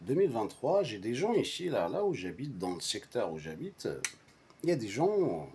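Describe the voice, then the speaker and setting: A man whose first language is Arabic, telling a personal story casually in a halting, repetitive and emphatic way. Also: mid-range voice, sing-song, warm tone. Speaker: storyteller recounting a personal anecdote to friends.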